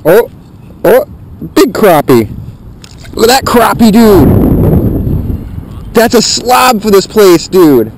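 A man giving casual, slangy and excitable fishing commentary outdoors into a body-worn action camera. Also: high voice, slow, long pauses. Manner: informal, slangy, excitable at catches. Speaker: fishing vlogger. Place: outdoors on a frozen pond. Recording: windy, noisy action-camera audio. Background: wind noise.

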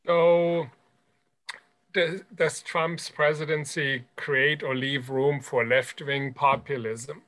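Higher-pitched man with a German accent. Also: fairly even intonation, slow, fairly bright tone. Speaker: academic panelist. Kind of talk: unscripted panel discussion over video call. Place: book-lined home office, indoors. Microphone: computer mic on a video call.

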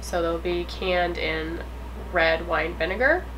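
A young woman with a fairly low voice for a woman talks cheerfully about her cooking plans in a home kitchen. She laughs easily and explains things in a relaxed, chatty way. Chopping and kitchen noise can be heard clearly behind her. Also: slow. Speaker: homestead vlog co-host. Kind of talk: casual chat while cooking.

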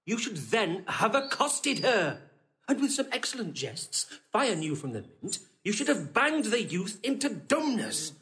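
An actor playing a sly, witty, mischievous gentleman in a classic comedy audio drama, persuasive and flamboyantly mock-earnest. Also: high-pitched, very animated, unhurried, heavily acted, poor audio. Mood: goading.

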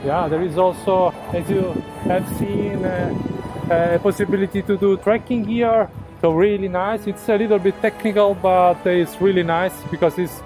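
A man's voice: sits high, fairly even intonation, moderate pace. A man, filming himself on a camera stick while hiking a rocky seaside coast, talking with many hesitant fillers. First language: Italian. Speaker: vlogger. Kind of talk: casual travel vlog. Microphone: action camera on a selfie stick. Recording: background music.

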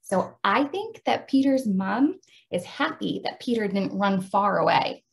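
A middle-aged woman with an American accent, explaining and reading aloud as a teacher of young children in an expressive way. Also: mid-range voice, a little slow, creaky. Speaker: teacher demonstrating a read-aloud.

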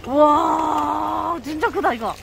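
Female outdoor fishing vlogger, high-pitched, exclamatory casual commentary, noisy seaside recording. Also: slow, vocal fry. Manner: exclamatory, often admiring the catch. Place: outdoors on a windy seaside breakwater.

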